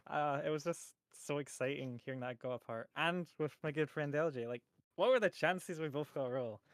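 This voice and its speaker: A man with a fairly high voice tells a story in a casual chat. He is animated and acts out the lines he quotes. The recording is dry and close. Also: moderate pace, no echo. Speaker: voice actor.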